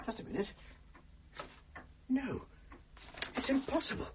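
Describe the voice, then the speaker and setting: An actor playing an honest, easily exasperated police inspector in an audio drama, earnest and flustered. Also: male voice, extremely high pitch, sing-song, a little slow, noisy background, heavily acted. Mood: exasperated, astonished. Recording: dull, muffled audio.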